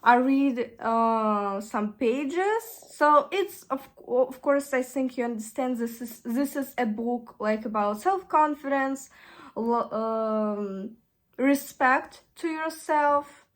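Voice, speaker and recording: Slow-talking young woman with Russian accent. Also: fairly high pitch. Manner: hesitant, searching for English words. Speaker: vlogger. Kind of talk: casual chat.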